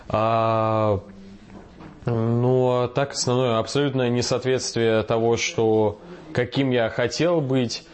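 A young man in his twenties speaks in a reflective, self-deprecating way, searching for words. His voice sits fairly low. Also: a little mellow. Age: 20s. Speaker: interviewee in rehab. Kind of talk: candid interview answer.

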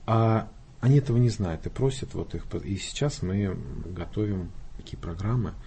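Deep mellow man, earnest church talk. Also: normal speed, a little hiss or hum. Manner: earnest, explanatory, pious wording. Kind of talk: talk show conversation.

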